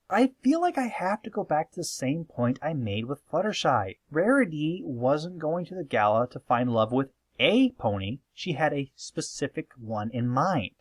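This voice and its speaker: A man's voice: fairly high pitch, husky, no echo. A man hosting a scripted opinion commentary, speaking in an emphatic, conversational and playfully sarcastic way. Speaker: host of a fan opinion video.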